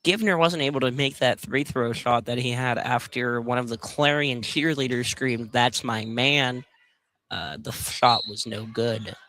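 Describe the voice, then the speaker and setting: A man's voice: medium pitch, unhurried, sharp tone, slight background noise, poor audio. A man giving casual live color commentary on a basketball game from inside a field house.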